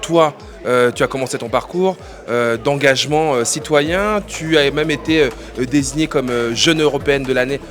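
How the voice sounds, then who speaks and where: A man's voice: mid-range voice, normal speed, few pauses, fairly bright tone, soft background music. A man hosting street interviews at a film festival, asking upbeat, chatty questions. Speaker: host. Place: outdoors in a city.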